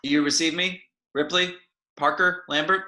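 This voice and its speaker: A man's voice: fairly high pitch, fairly even intonation, moderate pace, pushed and bright. A man playing a decisive spaceship captain in an amateur table read of a sci-fi script, recorded in a roomy space with echo. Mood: commanding. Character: decisive male spaceship captain giving orders.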